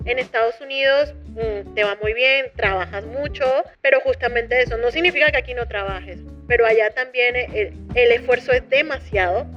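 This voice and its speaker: Brief: middle-aged Colombian woman, Mexican-tinged accent, fairly high voice, hesitant and earnest, soft music underneath. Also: moderate pace, few pauses, muffled recording. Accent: Colombian Caribbean with Mexican touches. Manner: earnest, hesitant with frequent fillers. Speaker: interview guest. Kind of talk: casual interview conversation.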